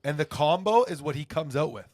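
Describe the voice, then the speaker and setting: A young man with a slightly high voice trades teasing jabs and quick disbelieving reactions. The sound is dry and close, with no room echo. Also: animated, darkish tone. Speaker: podcast co-host. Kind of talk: casual crude banter.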